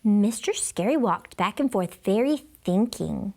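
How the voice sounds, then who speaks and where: A woman reading a children's book aloud in an expressive, theatrical storytelling manner. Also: mid-range voice, a little slow. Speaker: storybook reader. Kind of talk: animated children's book read-aloud in a child narrator's words.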